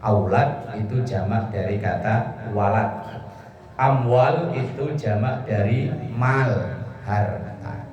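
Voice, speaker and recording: A man's voice: medium pitch, a little mellow, slight background noise. A man preaching informally, explaining scripture phrase by phrase in a conversational, joking manner. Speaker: Islamic preacher. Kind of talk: informal sermon explaining Quran verses. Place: echoey room with a listening congregation. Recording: echoey hall, distant mic, audience murmur.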